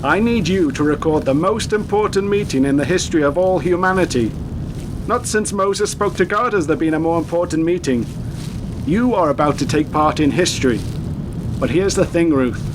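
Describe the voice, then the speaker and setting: A man plays a secretive, self-important agent in a radio drama. His voice is on the high side for a man, portentous and dryly evasive, with clear rumbling noise behind it. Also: moderate pace, close to an everyday voice. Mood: grave, portentous. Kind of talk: radio play acting.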